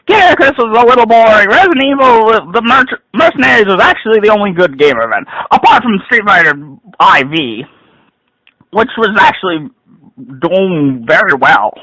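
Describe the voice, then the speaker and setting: A man performs a dramatic reading of a written rant with theatrical, overwrought intensity. His voice sits high and has a forceful, husky edge. The sound is close and dry. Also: sing-song, moderate pace, no room sound, low-quality recording. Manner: theatrical, overwrought delivery swinging from hushed to shouted.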